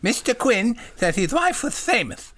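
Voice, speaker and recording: An eager, simple, kindly man from a comic storybook, put on by a male reader. He speaks high and very animatedly, earnest and innocently polite. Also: big pitch swings, a little slow, a bit bright, a little hiss or hum, exaggerated voice. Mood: innocently chatty.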